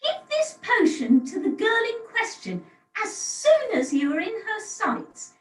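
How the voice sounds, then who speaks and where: A woman's voice: very high voice, huge pitch swings, a little slow, exaggerated voice. A woman playing a grand, patronising pantomime fairy, with theatrical delivery, on an echoey, distant home video-call recording. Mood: helpful, grand. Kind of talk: amateur pantomime acting.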